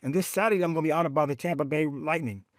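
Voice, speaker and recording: A man with a slightly high voice talks in a podcast conversation about veterans' causes, in a dry, close recording. He tells stories with emphatic, animated drive and often speaks of faith. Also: racing, no echo. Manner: rising pitch when excited. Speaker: veteran advocate guest.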